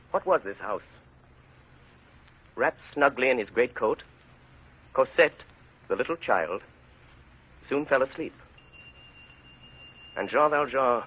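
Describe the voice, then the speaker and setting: An actor playing a grave, intense storyteller and hunted man in vintage radio drama, measured and theatrical. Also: medium pitch, natural voice. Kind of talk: 1930s-40s dramatic radio narration and acting. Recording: muffled vintage radio recording.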